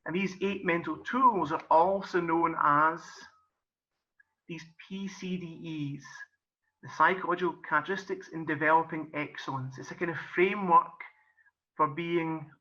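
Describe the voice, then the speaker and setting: A man's voice: fairly high pitch, steady tone, normal speed, bright, forceful tone. A middle-aged man with a Scottish accent, a golf and business coach, giving a friendly explanatory webinar talk with many "kind of"s and "um"s. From Scotland. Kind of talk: webinar presentation. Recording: muffled lo-fi webinar audio.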